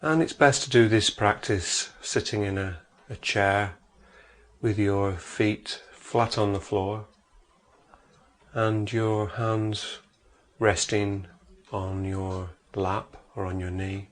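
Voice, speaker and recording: A man with a fairly low voice leads a guided meditation. He speaks very slowly, giving measured instructions and leaving long pauses between phrases. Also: steady tone.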